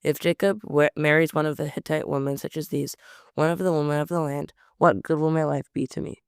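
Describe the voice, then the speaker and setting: Man, bible reader, smooth yet gravelly voice, dry close sound, occasional self-corrections. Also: on the high side, fairly quick, dry recording. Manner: steady reading with frequent stumbles and self-corrections. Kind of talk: reading scripture aloud.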